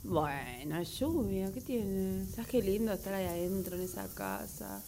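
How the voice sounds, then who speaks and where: A woman's voice: medium pitch, a little slow, gravelly, mellow. A woman playing a chatty, scatterbrained, placating traveler in a radio drama, with an Argentine Rioplatense accent. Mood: easygoing. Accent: Rioplatense Argentine Spanish. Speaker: amateur radio drama performer. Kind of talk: naturalistic radio drama dialogue. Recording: hissy, noisy workshop recording.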